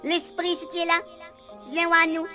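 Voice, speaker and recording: A woman's voice: extremely high pitch, monotone, moderate pace. A woman playing a cheeky, swaggering medium with a Réunion Creole accent in a comic radio serial. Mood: solemn, calling. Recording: music under the voice.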